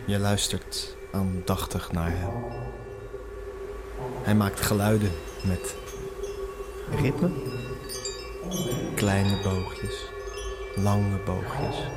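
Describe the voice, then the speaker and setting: A man's voice: lowish voice, extremely slow, pauses often. A man narrating an immersive audio drama in the second person, with a suspenseful storytelling manner. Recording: music behind the voice. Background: music.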